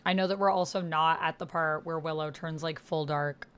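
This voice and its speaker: Woman chatting casually in an even, low-key pitch. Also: normal speed, clean tone. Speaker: YouTuber playing a text-based online roleplaying game. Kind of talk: casual chat, reading aloud as she types.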